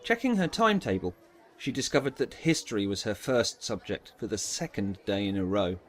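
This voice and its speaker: A man's voice: on the low side, expressive, a little fast, a little hiss or hum. A man narrating a dramatised audiobook in a scripted, storytelling style.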